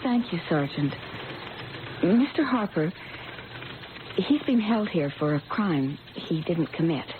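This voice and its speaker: A woman's voice: on the low side, lively intonation, takes it slowly, pauses often. A woman playing an idealistic, stubbornly loyal young woman in an old-time radio drama, speaking with insistent, naive sincerity. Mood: earnest. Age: young adult. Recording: tinny, noisy old radio broadcast.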